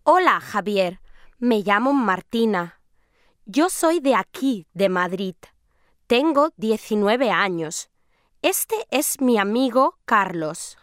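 A woman's voice: medium pitch, expressive, slow pace, dry recording, clean studio sound. A woman playing a friendly young woman in a scripted language-lesson dialogue, speaking carefully. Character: friendly young woman from Madrid, aged nineteen.

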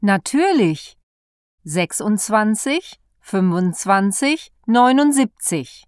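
Slow, clear woman exam reader. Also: medium pitch, big pitch swings, darkish tone, no room sound, studio quality. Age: 20s. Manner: slow, carefully articulated reading. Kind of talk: scripted language-exam dialogue.

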